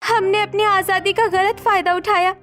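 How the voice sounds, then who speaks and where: A woman's voice: extremely high pitch, fairly even intonation, moderate pace, a bit bright, soft background music. A woman voicing an anxious, apologetic young daughter-in-law in a cartoon story, earnest and pleading.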